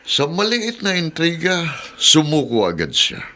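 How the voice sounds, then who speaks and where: A man playing a wounded, brooding husband and mayor in a Filipino radio drama, deliberate and weighty, with a Filipino accent. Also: on the high side, wildly swooping pitch, pushed and bright, a little hiss or hum, heavily acted. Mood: bitter, hurt.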